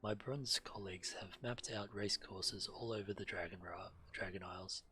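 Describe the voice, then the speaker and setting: Deep-voiced man streaming a game. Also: steady tone, moderate pace, sharp tone, slight background noise. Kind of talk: reading quest text aloud and casual game commentary.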